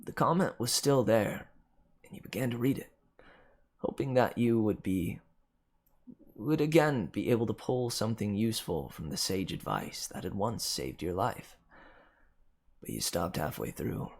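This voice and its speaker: A man reading a dark second-person story aloud as scripted narration, with dramatic emphasis that swells and drops. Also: lowish voice, big pitch swings, a little slow, creaky, long pauses. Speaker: amateur story reader.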